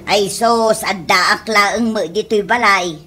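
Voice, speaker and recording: A woman's voice: medium pitch, slow, sharp tone, faint music behind. A woman playing a nagging, poor Ilocano housewife in a comedy radio drama, with an Ilocano accent and scolding, exclamatory delivery.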